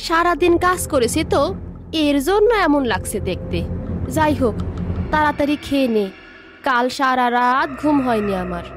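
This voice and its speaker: A woman's voice: high voice, animated, moderate pace, a little hiss or hum. Woman voicing a scheming ghost wife. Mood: sly. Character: evil female ghost disguised as a village wife. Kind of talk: cartoon voice acting. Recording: faint background music.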